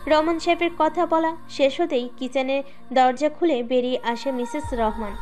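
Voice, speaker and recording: A woman's voice: high voice, fairly even intonation, normal speed, a little mellow, soft background music. A woman narrator reading a scripted dramatic story.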